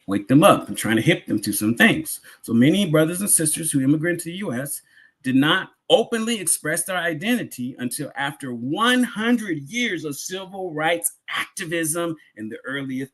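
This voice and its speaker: A man's voice: fairly high pitch, a bit bright, breathy edge. An American man giving a sermon-like public address, speaking in an emphatic yet conversational way with repetition and rhetorical questions. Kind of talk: sermon-like lecture to a congregation.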